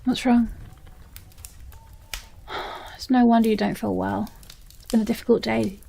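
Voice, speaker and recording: Woman acting a gravelly, obsessive British stepsister. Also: a little high, steady tone, unhurried, warm tone, full character voice. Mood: falsely soothing. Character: obsessive, possessive yandere stepsister, unstable and menacing. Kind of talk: audio roleplay, dramatic monologue to the listener.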